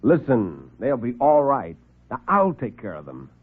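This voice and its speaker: A man's voice: medium pitch, huge pitch swings, a little slow, slightly rough, heavily acted. An actor playing a brash, slangy newspaperman who narrates with punchy tough-guy delivery in a classic radio drama. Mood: soothing. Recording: muffled vintage radio recording with music.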